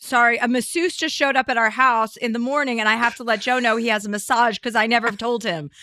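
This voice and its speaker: A woman hosting a talk podcast in a loose, joking way. Her voice is bright and forward, and the sound is dry and close with no room around it. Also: a little high, fairly even intonation, fast, dry recording. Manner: joking, chatty. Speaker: podcast host. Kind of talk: casual chat. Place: rented house, on a trip.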